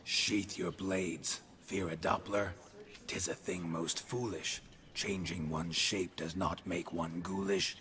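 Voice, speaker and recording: An actor playing a gruff, stoic monster hunter in a fantasy game, flat and laconic in delivery. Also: male voice, sits low, slow, full character voice. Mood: solemn recital. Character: gruff, stoic monster-hunting witcher, adult man, dry-humored.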